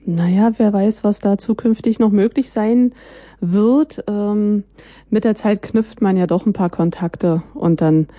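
A woman with a relaxed, mellow voice leads a casual interview. She phrases things hesitantly and encouragingly, and the sound is dry, without room echo. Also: mid-range voice, measured pace.

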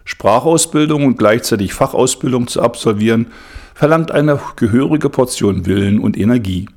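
A male reporter giving a scripted narration with an even, measured delivery. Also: medium pitch.

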